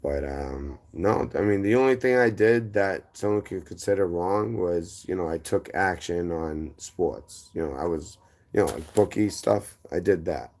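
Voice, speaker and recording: Deep-voiced man with a Boston accent. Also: measured pace, a little mellow. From inner-city Boston. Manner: rambling, drifting between tangents and correcting himself. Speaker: guest. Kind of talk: casual storytelling.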